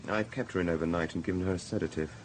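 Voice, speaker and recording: Male actor as British casualty doctor, lowish voice, candid, matter-of-fact, radio drama, light background ambience. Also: rapid-fire, a little hiss or hum, natural voice, low-quality recording. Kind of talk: naturalistic radio drama acting.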